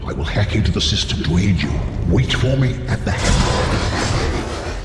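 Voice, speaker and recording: Echoing, deep ancient robot elder. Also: male voice, lively intonation, a little slow. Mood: impatient instruction. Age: older. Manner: theatrical, lofty, lecturing. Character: proud, wise, cantankerous ancient robot seeker. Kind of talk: video game cutscene voice acting. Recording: echoey hall reverb, music behind.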